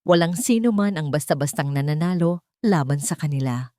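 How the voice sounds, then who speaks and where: Woman narrator as young male reporter, calm, gentle, skeptical, lowish, dry storybook sound. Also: normal speed, dry recording. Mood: calm, doubtful.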